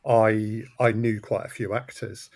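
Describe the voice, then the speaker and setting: A man's voice: lowish voice, level tone, unhurried, vocal fry, a little mellow, no echo. A man who makes audio drama, talking casually as an interview guest in a thoughtful, hesitant way, often repeating words.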